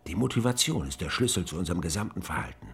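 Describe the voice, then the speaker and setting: A composed, visionary doctor in a radio drama, coaxing a patient with solemn persuasion. His low voice is creaky and moves in wide, expressive swings. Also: rapid-fire. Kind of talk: German radio drama acting.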